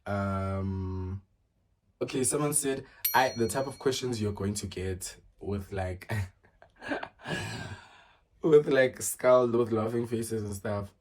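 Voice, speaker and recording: A young man talks casually through viewer questions on his vlog. His voice sits on the low side, and his talk is animated and chatty, full of fillers and restarts. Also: takes it slowly. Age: early 20s. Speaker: vlogger. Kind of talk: casual get-to-know-me Q and A.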